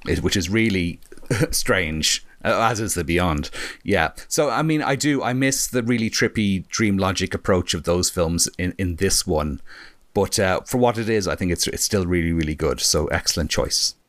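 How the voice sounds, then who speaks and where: A man with a slightly croaky voice chatting casually about films as a panelist, in a wry, rambling, enthusiastic way. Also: deep voice, animated, normal speed, a bit bright, no room sound, studio quality. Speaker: film discussion panelist. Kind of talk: casual film review chat.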